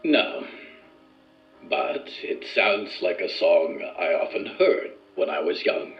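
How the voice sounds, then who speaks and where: An actor playing a formal, earnest male research subject in an audio drama, with measured, deliberate wording. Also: deep voice, big pitch swings, full character voice. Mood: measured, reserved. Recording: echoey room, muffled, music behind.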